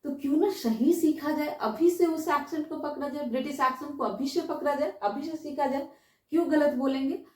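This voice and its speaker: This is an Indian woman teaching a class in a high but soft, mellow voice. She explains things to her students, repeats her key phrases and keeps checking in with rhetorical questions. She sounds some distance from the microphone in an echoey room. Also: normal speed. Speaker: English teacher giving a class. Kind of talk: spoken lesson, explaining to students. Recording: roomy echo, distant microphone.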